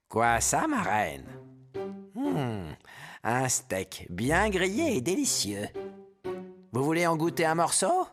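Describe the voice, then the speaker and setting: A man's voice: a little high, wildly swooping pitch, takes it slowly, pauses often, faint music behind, heavily acted. A man playing a gluttonous, servile henchman of an evil queen in a children's cartoon, talking with obsequious relish. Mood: greedy, servile.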